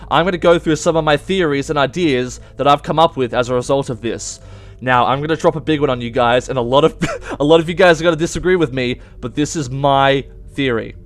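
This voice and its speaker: Young man, casual explainer, mid-pitched. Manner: emphatic, addresses viewers directly. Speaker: YouTube game theory host.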